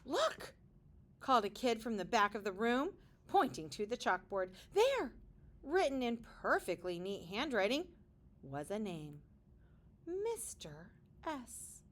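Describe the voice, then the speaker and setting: Animated, higher-pitched woman reading a storybook aloud. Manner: expressive, dramatic pauses, gasps and wondering asides to young listeners. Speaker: kindergarten teacher reading aloud. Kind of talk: animated picture book read-aloud with conversational asides.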